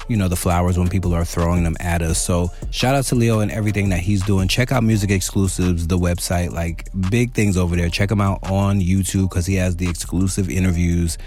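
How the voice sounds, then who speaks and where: A man's voice: low-pitched, darkish tone. A man hosting a show, talking casually and directly to his listeners in an outro. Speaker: show host giving an outro. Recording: voice over a music bed. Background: music.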